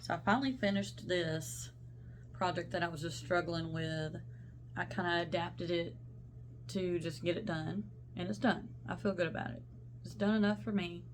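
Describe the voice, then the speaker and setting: A woman's voice: on the low side, fairly even intonation, moderate pace, a little hiss or hum. A young woman talking casually about her cross-stitch projects, rambling and self-interrupting, her voice congested from a sinus infection. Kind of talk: casual show-and-tell vlog.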